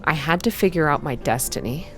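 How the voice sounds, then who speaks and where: Female ASMR story reader, lowish register, measured scripted narration over background music. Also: lively intonation. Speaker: ASMR reader and game player. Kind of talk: ASMR reading of a visual novel, scripted narration. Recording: music behind the voice.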